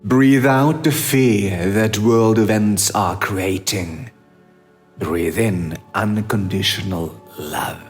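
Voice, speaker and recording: A man narrating a scripted guided meditation in a measured, instructive manner with long pauses. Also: on the low side, faint music behind.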